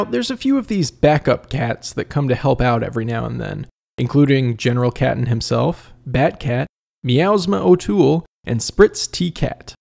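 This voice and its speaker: Man narrating a review, creaky voice. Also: mid-range voice, darkish tone.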